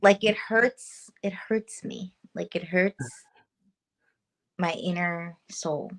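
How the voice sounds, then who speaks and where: Chatty woman guest, quick to laugh. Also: on the low side, low-quality recording. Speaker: paranormal investigator guest. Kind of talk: casual interview chat.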